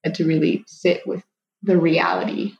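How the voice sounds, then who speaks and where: Young woman guest, low, mellow, hesitant, muffled call audio. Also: flat delivery, moderate pace, gravelly. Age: young adult. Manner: soft-spoken, hesitant, many likes. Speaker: guest, daughter of an adoptee. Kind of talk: reflective conversation.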